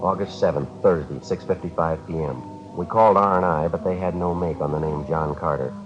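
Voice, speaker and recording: A low-pitched American male detective, played by a radio actor, talking briskly in clipped, deadpan phrases. He is terse and relentless, in a 1950s police drama with the dull sound of old radio. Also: lightly acted. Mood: matter-of-fact. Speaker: actor narrating and playing a detective. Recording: dull vintage radio broadcast with music.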